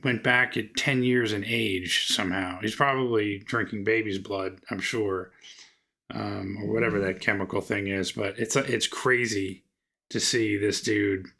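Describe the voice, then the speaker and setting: A man's voice: lowish voice, fairly even intonation, measured pace, gravelly, pushed and bright. A man commenting casually on a clip, with a mocking, offhand way of talking.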